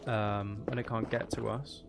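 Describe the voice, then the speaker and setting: A man's voice: fairly low pitch, level tone, a little slow, gravelly. A man giving casual gameplay commentary, muttering to himself as he thinks aloud while he plays. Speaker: gamer playing Minecraft. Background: background music.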